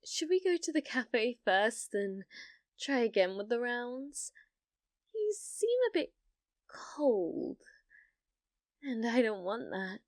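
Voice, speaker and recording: Girl voice actor doing clingy yandere girlfriend asmr, higher voice, really slow, sweet but kinda threatening, super dry close sound. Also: very animated, no room sound. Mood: tender, doting. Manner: sugary, coaxing, with veiled menace. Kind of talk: ASMR roleplay, addressing the listener as a date.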